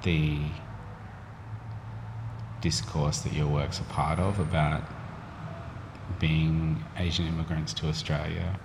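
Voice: extremely low pitch, level tone, takes it slowly, pauses often. An interviewer asking probing questions in casual talk and reframing them as they go. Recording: reverberant room with clear noise.

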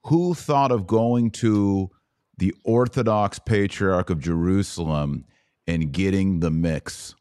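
A man's voice: deep voice, takes it slowly, warm tone, clean tone. A man hosting a podcast in casual conversation, asking probing, inquisitive questions. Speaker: podcast host.

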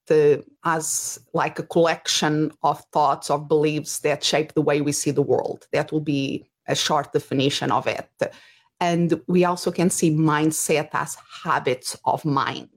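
Portuguese-accented woman, low voice, business coach, thinks out loud with lots of ums. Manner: thoughtful, searching for words with fillers. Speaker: business coach and podcast host. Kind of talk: casual interview conversation.

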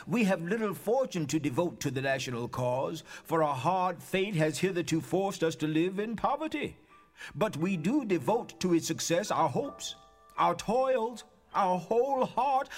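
A man's voice: high voice, expressive, unhurried, faint music behind, exaggerated voice. An actor playing an earnest, dignified 19th-century freedman in a theatrical reading, with formal, emphatic oratory. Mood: earnest, resolute. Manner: formal, emphatic oratory, building through lists. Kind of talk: theatrical dramatic reading of historical documents.

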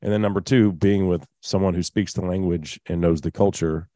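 Radio host guy, low mellow voice, laid back and chatty, dry close mic. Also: fairly even intonation, moderate pace, no echo. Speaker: outdoor radio show host. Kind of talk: casual radio chat and live ad reads.

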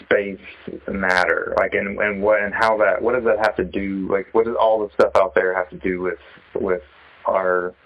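A man with a fairly low voice speaks casually over a muffled phone connection. He is an artist being interviewed, feeling his way through his thoughts with halting, reflective phrasing. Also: flat delivery, gravelly. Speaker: interviewee, sculptor. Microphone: telephone. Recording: dull, band-limited phone call.

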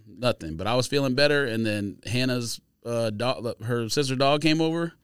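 A man's voice: medium pitch, moderate pace, clear, resonant tone, no room sound. A man co-hosting a podcast, bantering casually in a dry, teasing, contrary way. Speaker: podcast co-host.

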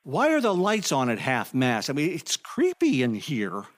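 An actor playing a nervous, imaginative office temp in a comedic audio drama, stammering and talking to himself. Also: male voice, mid-range voice, wildly swooping pitch, moderate pace. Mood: anxious, exasperated. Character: jittery, imaginative male office temp, easily unnerved.

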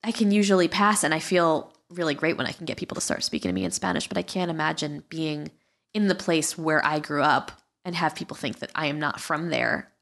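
A woman with a slightly low voice hosts a casual podcast interview. She is inquisitive and talks conversationally, feeling her way through her phrasing. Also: fairly quick, gravelly, fairly bright tone. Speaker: podcast host.

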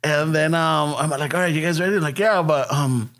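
A man's voice: a little high, normal speed, a bit bright. A man co-hosting a casual podcast, telling a story with lots of laughter and swearing, slipping Spanish phrases into his English. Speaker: podcast co-host. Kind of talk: casual chat.

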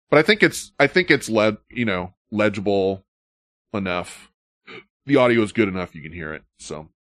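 Low-voiced man, dry close sound. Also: fairly bright tone, dry recording. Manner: loose, chatty storytelling with laughs. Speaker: podcast host. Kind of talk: casual podcast chat.

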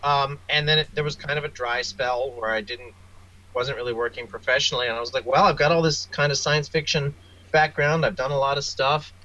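A man speaking as an interview guest, a writer telling wry, self-deprecating anecdotes in a casual, animated way. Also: medium pitch, a little fast, lo-fi sound.

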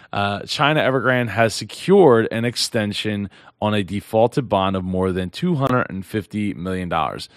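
Hoarse man rambling through business news. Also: fairly low pitch, dry recording, studio quality. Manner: rambling, many restarts and fillers. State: losing his voice. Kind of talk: casual news commentary. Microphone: close mic.

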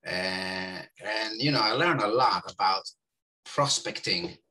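Israeli guy in his forties, low and bright-toned, loose rambling interview talk. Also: slow, rough recording. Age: 40s. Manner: rambling, many fillers and restarts. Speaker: guest. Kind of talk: casual interview chat.

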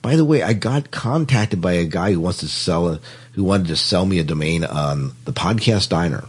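A man's voice: low voice, expressive, moderate pace, breathy edge. A man hosting a podcast in a casual, chatty style. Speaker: podcast host. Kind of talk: casual podcast wrap-up chat.

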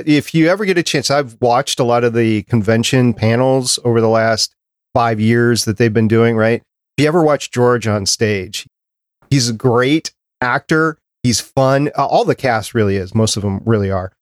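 Guy hosting a podcast, casual chat, average pitch, really dry recording. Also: moderate pace, no room sound. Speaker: podcast host.

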